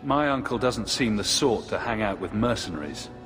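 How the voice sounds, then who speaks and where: A man's voice: fairly low pitch, animated, moderate pace, fairly bright tone. An actor playing a sarcastic young male refugee hero with a dry wit. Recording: game audio with reverb and music.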